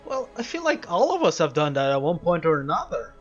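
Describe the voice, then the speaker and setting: A man's voice: on the high side, wildly swooping pitch, brisk. A man giving casual, hesitant let's play commentary as he reacts to a game. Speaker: gamer playing and reacting. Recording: dull lo-fi mic over game music.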